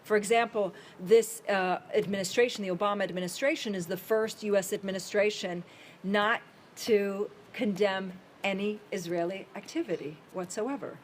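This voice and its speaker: Panelist: woman, mid-pitched, lays out numbered points briskly, faint hiss behind. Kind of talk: panel discussion remarks. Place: conference hall.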